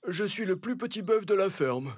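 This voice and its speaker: A man's voice: sits high, animated, moderate pace. A man voicing a sad, self-pitying little ox who complains plaintively in a children's cartoon fable. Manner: plaintive, whining.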